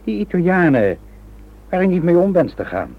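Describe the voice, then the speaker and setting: A courteous but mocking gangster, with a husky edge and very animated pitch. He plays it as old radio drama, and the recording is thin and narrow. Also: moderate pace, full character voice. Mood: mocking politeness. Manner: smooth, ironically courteous. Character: suave, mockingly polite Italian mafia envoy. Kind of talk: Dutch radio drama acting.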